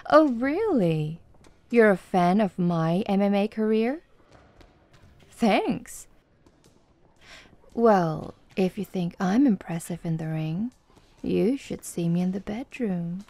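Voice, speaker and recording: A woman's voice: mid-range voice, animated, slow, pauses often, darkish tone, no room sound. A woman playing a flirty, confident tomboy MMA fighter in an ASMR roleplay, with a playful, teasing, coaxing manner.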